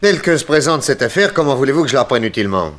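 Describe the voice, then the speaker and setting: An actor playing an inquisitive, methodical investigator in a 1960s French radio drama, probing and decisive. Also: male voice, mid-range voice, big pitch swings, very fast, a bit bright.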